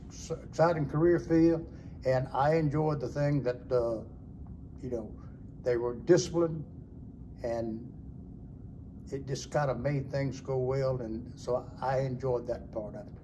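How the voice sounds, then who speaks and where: A man in his eighties from South Alabama, an Air Force veteran talking in a halting way with pauses and fillers. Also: mid-range voice, warm tone, slight background noise. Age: 80s. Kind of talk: recorded personal interview.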